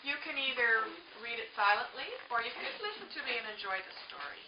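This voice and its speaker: A woman with a fairly high voice teaches young children, reading a storybook and pausing to explain things simply. She sounds some distance from the mic in an echoey room, with clear background noise. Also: sing-song. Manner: explains simply, checks with short questions. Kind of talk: picture-book read-aloud with questions to children. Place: echoing room with a small group of children. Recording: echoey, distant, muffled, noisy room recording.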